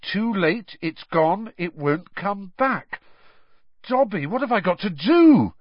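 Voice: mid-range voice, wildly swooping pitch, unhurried, slightly rough, no room sound, muffled recording, pitched above the performer's own voice, exaggerated voice. A narrator voicing an anxious, incredulous teenage schoolboy in an audiobook style. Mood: horror-struck. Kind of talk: audiobook character voice.

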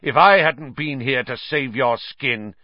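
A man's voice: mid-range voice, a little slow, no room sound, lo-fi sound, voice pushed up, natural voice. A man voicing a hot-headed, defiant teenage schoolboy in an audiobook, indignant and argumentative.

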